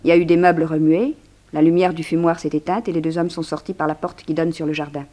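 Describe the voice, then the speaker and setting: An actress in a 1960s French radio drama playing a poised, curious society journalist who speaks in an articulate, lively way. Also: female voice, deep voice, fast, close to an everyday voice. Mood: earnest, confiding.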